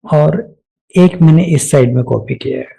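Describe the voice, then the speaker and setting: A man with an Indian accent narrating a step-by-step software tutorial, explaining each action aloud as an instructor. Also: fairly high pitch, moderate pace, gravelly, slightly rough, low-quality recording.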